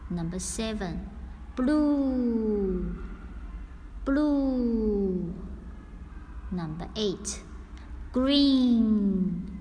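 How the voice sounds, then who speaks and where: A woman reading out a scripted listening test in a deliberate, carefully enunciated dictation style. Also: medium pitch, animated, mellow. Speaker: English listening test reader. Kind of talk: scripted exam narration, slow and repeated. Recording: long hall reverb, faint background noise.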